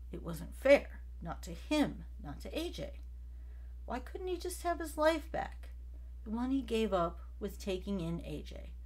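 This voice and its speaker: A woman narrating a story from a script as an amateur audiobook reader, occasionally stumbling over a word. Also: mid-range voice. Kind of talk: scripted fiction narration, read aloud.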